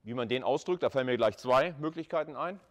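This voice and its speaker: A man's voice: medium pitch, animated, fast. A man lecturing at a university in an informal, conversational way, thinking aloud and putting questions to students.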